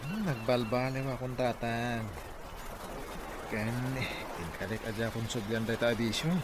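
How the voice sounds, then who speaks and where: A man's voice: medium pitch, normal speed, lightly acted. A man with a northern Philippine accent plays a mild, earnest young man in radio comedy drama, speaking in a subdued way. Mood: dutiful. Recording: loud background noise.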